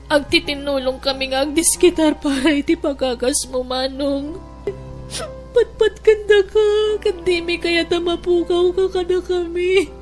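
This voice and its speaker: Radio drama: a woman playing a sorry younger sister, very high voice, music under. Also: fairly even intonation, a little slow. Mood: pleading. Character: remorseful younger sister, pleading.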